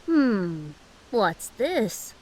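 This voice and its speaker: A woman's voice: mid-range voice, very animated, drawn-out words, darkish tone, full character voice, rough recording. An actress playing a polite, inquisitive older halfling merchant woman who muses as she talks, in a fantasy audio drama. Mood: businesslike.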